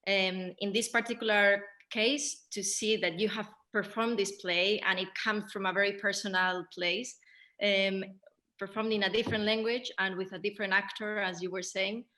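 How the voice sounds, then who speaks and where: A woman hosting a theatre Q&A, asking questions conversationally in halting phrases. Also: mid-range voice, fairly even intonation, sharp tone. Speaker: moderator of a theatre Q&A. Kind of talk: conversational interviewing.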